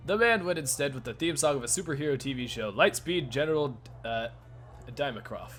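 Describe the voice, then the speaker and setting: A man's voice: mid-range voice, animated, a little fast, a bit bright. Man reading a story aloud over music. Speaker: narrator in a fan reading of a visual novel. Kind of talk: cold-read story narration with stumbles and asides.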